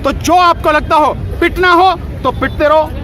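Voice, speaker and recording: A man giving a forceful, rhetorical interview at an outdoor protest, repeating his points for emphasis. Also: extremely high pitch, normal speed. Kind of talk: impassioned street interview. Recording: loud crowd outdoors.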